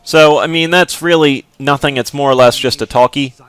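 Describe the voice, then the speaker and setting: A man casually commenting on his game playthrough, relaxed and rambling with fillers. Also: on the high side, moderate pace, clear, resonant tone, no echo. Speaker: gameplay commentator. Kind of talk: casual let's play commentary.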